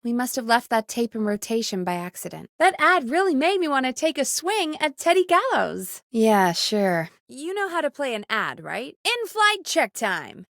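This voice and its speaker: High-voiced, expressive radio producer woman. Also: dry recording, studio quality, full character voice. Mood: upbeat, businesslike. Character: earnest, wry woman radio producer and call screener. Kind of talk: video game voice acting.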